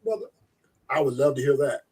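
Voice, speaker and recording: A man's voice: mid-range voice, level tone, normal speed, darkish tone, slightly rough. A man on a casual live stream, blunt and rambling, who swears freely.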